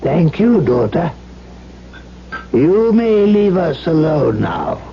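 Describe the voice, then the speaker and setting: A man's voice: on the high side, big pitch swings, takes it slowly, full character voice. A man playing a courtly, cryptic old alchemist in a radio drama, formal and wryly hospitable. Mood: courtly, commanding. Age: older. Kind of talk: 1970s radio drama acting. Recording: dull, hissy 1970s radio broadcast.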